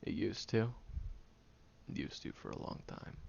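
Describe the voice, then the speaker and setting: A man playing a brash, defensive young man with a secretly caring side in an audio roleplay, speaking bluntly and haltingly in a low, gravelly voice. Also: darkish tone, lightly acted. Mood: wounded, resolute. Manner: blunt, grumbling, sighing, halting delivery. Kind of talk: audio roleplay acting, one-sided dialogue addressed to the listener. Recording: dull home recording with faint noise.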